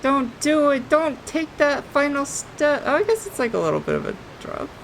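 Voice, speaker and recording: Creaky-voiced woman streaming a game. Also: high-pitched, big pitch swings, moderate pace, slight background noise, poor audio. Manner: giggly, reactive, playful. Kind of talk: casual gameplay commentary.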